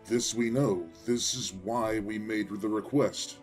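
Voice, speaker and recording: A man's voice: on the low side, fairly even intonation, slow, darkish tone. A man playing a huge, proud farmer-mayor in an audio drama, speaking bluntly and emphatically with defiant conviction. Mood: proud, indignant. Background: faint background music.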